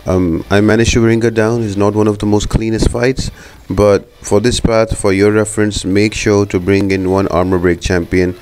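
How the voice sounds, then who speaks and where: A man's voice: lowish voice, little pitch movement, normal speed, a little mellow, a little hiss or hum. A man giving instructional walkthrough commentary as the host of a gaming guide, explaining things step by step.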